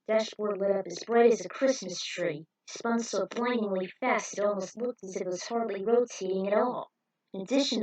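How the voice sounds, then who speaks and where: A woman's voice: mid-range voice, darkish tone, low-quality recording. Woman narrating an amateur story. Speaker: narrator reading own fan fiction.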